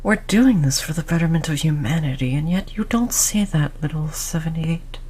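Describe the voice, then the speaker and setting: A performer of unclear sex playing a cold, condescending researcher who disciplines a captive test subject in a measured, mock-polite way, in an audio roleplay. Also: medium pitch, darkish tone, slight background noise, close to an everyday voice. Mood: patronizing, exasperated. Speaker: scientist running human experiments. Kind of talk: scripted audio roleplay drama.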